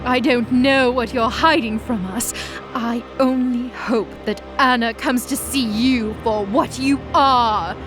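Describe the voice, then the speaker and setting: A woman voicing a cold, vengeful goddess who speaks in a deliberate, threatening, dramatic way. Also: fairly high pitch, steady tone, music in the background. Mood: angry, accusing. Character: cold, vengeful, menacing goddess, bitterly accusing.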